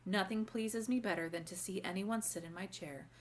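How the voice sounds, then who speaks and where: A woman voicing a kindly, wise old grandmother in a storybook, gently and formally giving instruction. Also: mid-range voice, normal speed, slight background noise, natural voice, low-quality recording. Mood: instructing. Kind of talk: storybook read-aloud character voice.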